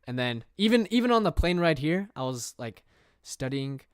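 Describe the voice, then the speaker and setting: A man's voice: on the high side, big pitch swings, normal speed, clean tone, no room sound, clean studio sound. A young man from England chatting casually on a livestream from his room, rambling with fillers and reading viewer questions aloud. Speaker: streamer.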